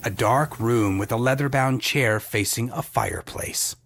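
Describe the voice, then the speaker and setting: A man's voice: mid-range voice, a little slow, gravelly, fairly bright tone. A man giving theatrical scripted narration as the storyteller of an adventure audio drama.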